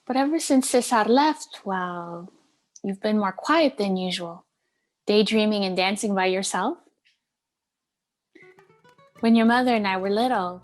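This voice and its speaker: A woman's voice: medium pitch, close to an everyday voice. A woman playing a caring but firm middle-aged Cuban aunt in a play table read, coaxing and mixing in Spanish endearments. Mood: gentle, nostalgic.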